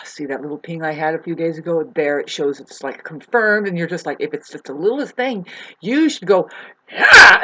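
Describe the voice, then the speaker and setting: A woman with a husky voice talking casually in a meandering, halting monologue, often trailing off mid-thought. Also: low voice, bright, forceful tone, muffled recording. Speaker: vlogger. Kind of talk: rambling spoken monologue, casual chat.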